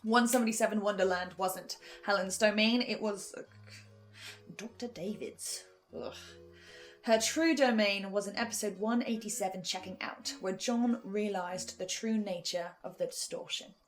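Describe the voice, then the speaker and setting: Female host for review essay, reflective delivery with pointed pauses, mid-range voice, faint music underneath. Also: medium pitch. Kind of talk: scripted video essay commentary.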